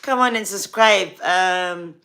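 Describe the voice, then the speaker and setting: A woman's voice: lowish voice, slow, bright, forceful tone, a little hiss or hum. A woman with a Ghanaian accent, a gospel artist hosting a casual livestream, chatting with viewers by name and urging them to subscribe.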